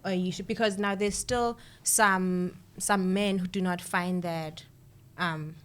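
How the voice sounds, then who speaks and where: A young woman from South Africa on a panel, reasoning through her points and questioning others in a measured way. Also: on the low side, steady tone, a bit bright, smooth. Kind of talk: casual panel chat.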